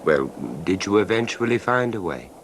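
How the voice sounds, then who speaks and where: Deep-voiced, probing storyteller played by a man. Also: animated, natural voice. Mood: curious, probing. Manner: probing, deliberate, dramatic. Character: attentive male train passenger, listener and storyteller. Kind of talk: 1970s radio drama acting and narration. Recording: noisy 1970s radio drama recording.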